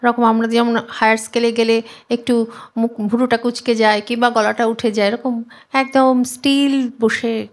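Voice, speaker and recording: Woman singer guesting on a chat, voice a bit high, casual. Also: flat delivery, normal speed.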